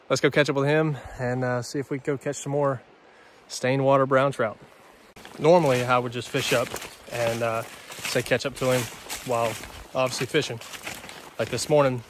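A man's voice: mid-range voice, fairly bright tone. A young man in casual vlog chat, talking in an informal, slangy way outdoors as a fishing vlogger. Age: young adult. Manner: informal, slangy bro talk. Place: outdoors beside a creek. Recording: outdoor noise from water.

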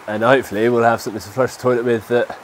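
A man's voice: mid-range voice, little pitch movement, very fast. A man in his thirties with a stuffy nose from a cold, casually explaining a DIY job step by step while he works outdoors. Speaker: DIY vlogger. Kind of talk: casual how-to walkthrough. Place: outdoors under a shelter in the rain. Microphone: lapel mic. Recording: light rain noise behind.

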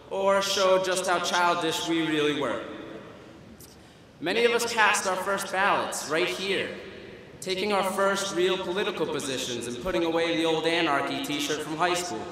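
A young man giving a humorous student commencement speech at a podium, wry and self-deprecating, with pauses for laughs. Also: on the high side, pushed and bright, a little hiss or hum. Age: young adult. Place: large auditorium stage at a podium. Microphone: podium microphone. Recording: echoey hall through a PA system. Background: audience laughter and hall ambience.

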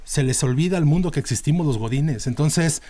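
A man's voice: on the high side, brisk, mellow. A middle-aged man with a Mexican accent, a band manager talking through his work as a podcast guest, with lots of fillers and restarts as he explains. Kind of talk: casual interview talk. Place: small dim podcast studio. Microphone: studio mic on a boom arm.

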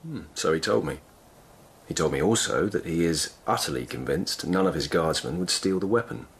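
An actor playing a grave, courteous palace lieutenant in a radio drama, measured and formal in manner. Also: male voice, low-pitched, animated, slightly rough, heavily acted. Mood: probing.

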